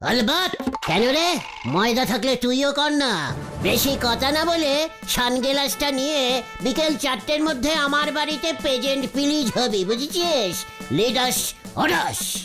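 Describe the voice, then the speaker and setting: Slow, blustering cartoon uncle. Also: female voice, high voice, animated. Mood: swaggering. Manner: blustering, stammering, garbles English words. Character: boastful, cowardly, bumbling uncle who fancies himself a model. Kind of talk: exaggerated comic cartoon acting. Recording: cartoon music behind the voice.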